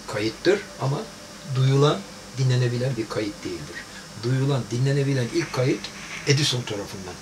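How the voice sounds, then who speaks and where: A man's voice: medium pitch, low-quality recording. A man, a gramophone repairer, patiently explaining how early sound recording worked. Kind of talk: explaining history to camera.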